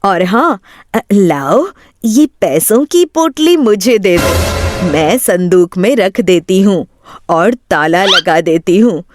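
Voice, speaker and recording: A woman storyteller plays a scheming female swindler in a moral tale, motherly on the surface and sly underneath. She coaxes slowly at a medium pitch, with clear noise behind. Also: lively intonation. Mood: coaxing. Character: sly thieving woman feigning motherly kindness, secretly murderous.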